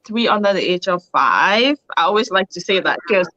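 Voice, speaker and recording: A woman's voice: medium pitch, expressive, normal speed, bright, forceful tone, dry recording, lo-fi sound. A woman in her thirties from Cameroon, a guest speaking cheerfully in a casual online chat and laughing often. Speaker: guest, digital business coach. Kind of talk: casual live-stream chat.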